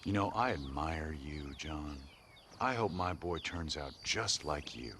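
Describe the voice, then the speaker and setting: An actor playing a calm, mysterious stranger who speaks in a measured, knowing way in a western video game. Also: male voice, very deep voice, slight background noise, heavily acted.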